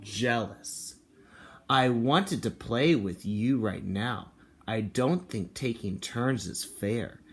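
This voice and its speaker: A man speaking as a kindergarten teacher, reading and explaining to young children in an expressive, encouraging way with simple phrasing. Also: mid-range voice, takes it slowly. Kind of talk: picture book read-aloud and talk to children and parents.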